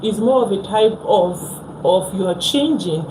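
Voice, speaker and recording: A woman's voice: mid-range voice, expressive, unhurried, dark tone, a little hiss or hum, rough recording. A young Nigerian woman with a Nigerian accent, hosting a casual phone live stream, chatting with viewers and repeating herself.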